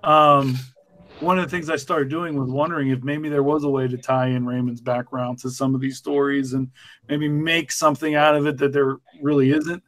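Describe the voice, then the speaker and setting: Man, medium pitch, casual podcast chat, informal, hesitant phrasing. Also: level tone, moderate pace, fairly bright tone.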